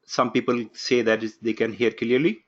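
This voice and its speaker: A man opening an online course from his home office, giving instructions briskly and calling on participants one by one. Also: mid-range voice, fairly even intonation, lo-fi sound. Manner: brisk, instructive, takes roll call. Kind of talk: live online class introduction. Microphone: video call.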